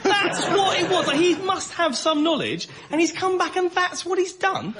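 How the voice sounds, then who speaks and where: A man's voice: very high voice, animated, moderate pace, crowd noise. A man on a comedy panel, ranting incredulously and repeating phrases for emphasis. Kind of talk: comic ranting and banter. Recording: noisy radio recording with studio audience.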